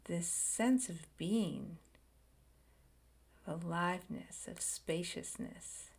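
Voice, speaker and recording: A woman leading a guided meditation, speaking softly in short phrases with long pauses and frequent whispered words. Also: on the low side. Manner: slow, soft and soothing, drifting into whispers.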